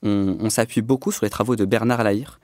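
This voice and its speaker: A man's voice: lowish voice, fairly even intonation, fairly quick, darkish tone, no room sound. A man whose first language is not French, a public speaking trainer explaining his work earnestly as a podcast guest, with many fillers and restarts. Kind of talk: podcast discussion.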